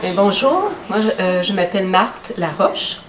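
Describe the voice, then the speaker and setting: A woman with a Quebec French accent talks about her art and pauses on many fillers. She sounds set back from the mic in an echoey space. Steady noise runs behind her. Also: medium pitch, far from the mic. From Outaouais, Quebec. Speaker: painter.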